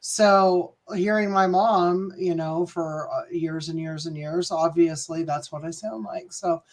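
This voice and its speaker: A woman with a deep voice, co-hosting a casual live-stream chat in a chatty, agreeable way and laughing easily. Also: steady tone, unhurried. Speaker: co-host of a live channel chat.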